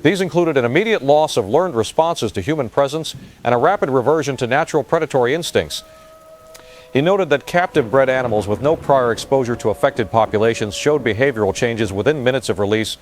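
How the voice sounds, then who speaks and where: An actor playing a sober news reporter, reading a formal, factual report in an audio drama. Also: male voice, mid-range voice, lively intonation, fairly quick, a little hiss or hum, natural voice. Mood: sober, urgent.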